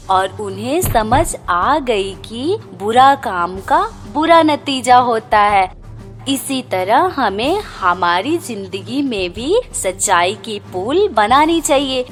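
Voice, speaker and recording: A woman reads a scripted children's tale as narrator. Her voice is somewhat high and she takes it very slowly. Faint music plays underneath. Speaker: story narrator.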